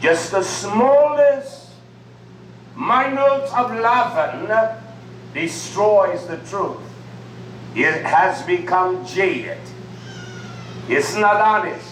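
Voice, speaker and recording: Slow, emphatic high-voiced male preacher. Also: expressive, a bit bright. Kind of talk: sermon. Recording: echoey room from far off with faint noise.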